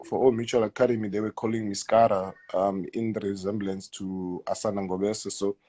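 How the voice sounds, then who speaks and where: A man in his thirties with a South African accent, answering interview questions in a hesitant, halting way, often repeating words and letting sentences trail off quietly. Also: lowish voice, steady tone. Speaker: guest footballer. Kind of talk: casual interview.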